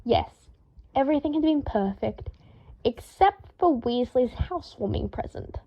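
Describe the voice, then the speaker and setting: A woman reading a story aloud in a lively, expressive way, acting out the dialogue. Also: high-pitched, measured pace, vocal fry, low-quality recording. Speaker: fan fiction reader.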